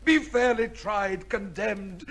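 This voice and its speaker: An actor playing a broken, condemned gentleman devoted to the law, speaking formally and haltingly in an old-time radio drama. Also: high voice, exaggerated voice. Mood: horrified, anguished. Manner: halting, impassioned, formal theatrical delivery.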